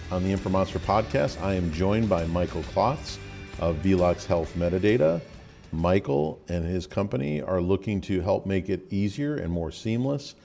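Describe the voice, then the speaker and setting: A man hosting a podcast, introducing his guest and asking questions in a measured, steady way. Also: sits low, gravelly. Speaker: podcast host.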